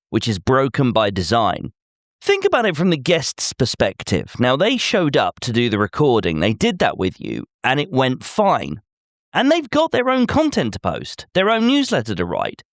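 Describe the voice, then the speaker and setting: A man's voice: mid-range voice, fairly bright tone, no echo. A man hosting a podcast, delivering scripted commentary in a wry, emphatic way, speaking straight to the listener. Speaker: podcast host.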